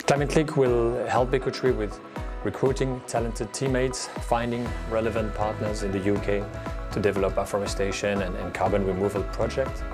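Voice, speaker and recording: A man with a fairly low voice reads a formal message to an audience in a steady, scripted way. Clear music plays beneath him. He sounds like an executive addressing an event from afar. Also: moderate pace. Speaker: company co-founder and CEO. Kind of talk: recorded video message. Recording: music playing underneath.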